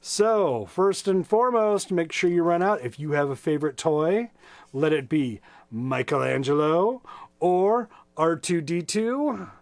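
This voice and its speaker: Slow-paced, higher-voiced American man chatting casually. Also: animated. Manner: hesitant, rambling, friendly and informal. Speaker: volunteer storytime host for children. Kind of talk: casual livestream chat.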